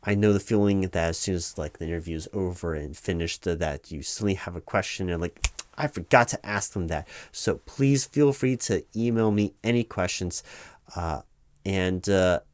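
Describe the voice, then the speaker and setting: A man's voice: sits low, darkish tone, close to an everyday voice. A man playing a flustered, polite corporate hiring manager in a comedic interview roleplay, stammering and hesitating as he goes, with keyboard typing. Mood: relieved, businesslike. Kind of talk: one-sided comedic job interview audio roleplay. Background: computer keyboard typing, tapping.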